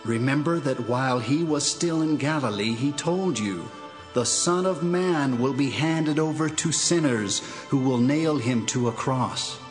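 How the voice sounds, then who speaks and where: Slow, solemn angel, acted by a man. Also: medium pitch, soft background music, natural voice. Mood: solemn, proclaiming. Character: solemn male angel bringing holy news. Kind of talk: audio Bible drama.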